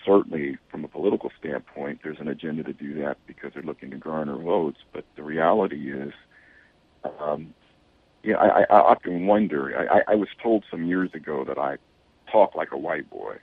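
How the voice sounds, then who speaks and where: Deep gravelly man on a phone line. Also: no echo. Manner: measured, earnest, repeats words when starting. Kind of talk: discussion on a radio talk show.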